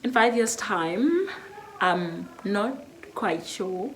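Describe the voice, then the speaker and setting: A woman's voice: mid-range voice, expressive, drawn-out words, a little hiss or hum, low-quality recording. A nineteen-year-old woman with a South African accent, chatting reflectively through a Q&A vlog with lots of fillers. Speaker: YouTuber. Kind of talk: casual Q&A vlog.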